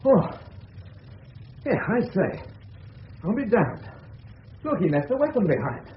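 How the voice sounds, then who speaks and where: An actor playing a shaken, indignant middle-aged suburban father, halting and emphatic, with an English accent in a radio drama. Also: high voice, huge pitch swings, heavily acted. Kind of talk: 1970s radio drama acting. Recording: dull hissy old radio recording.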